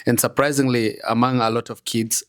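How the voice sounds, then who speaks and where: A man's voice: mid-range voice, brisk. A young man from Uganda, a fashion designer, talking casually in an interview, with lots of fillers and restarts. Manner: relaxed, storytelling with frequent fillers and restarts.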